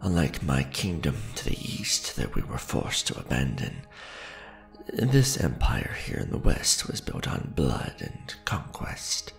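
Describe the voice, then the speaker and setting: Ancient vampire lord, played by a man for ASMR roleplay: imperious, gravelly and low, with sweeping, dramatic pitch swings. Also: wildly swooping pitch, faint music behind, heavily acted. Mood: grave, brooding. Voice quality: gravelly, creaky. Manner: formal, grandiose, measured, dramatic. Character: centuries-old male vampire lord, imperious, aristocratic, tender to his beloved.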